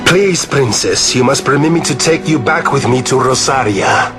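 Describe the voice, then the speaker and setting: A man's voice: mid-range voice, music in the background, natural voice. An actor playing a gallant foreign nobleman who speaks in grand, flowery phrases, over an orchestral score. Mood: courtly. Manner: slow and deliberate. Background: orchestral game score.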